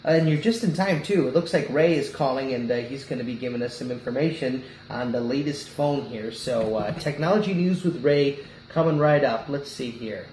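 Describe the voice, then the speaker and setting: A man hosting a web news show, handing off to segments with an animated, slightly hesitant delivery and plenty of fillers. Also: mid-range voice, moderate pace, few pauses. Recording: roomy echo, faint ambient noise.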